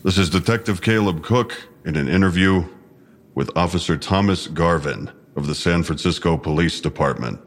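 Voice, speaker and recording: Low-voiced, clipped police detective. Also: male voice. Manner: formal, clipped questioning. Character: stern male police detective, dry and sarcastic interviewer. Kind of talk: audio drama acting, recorded interview.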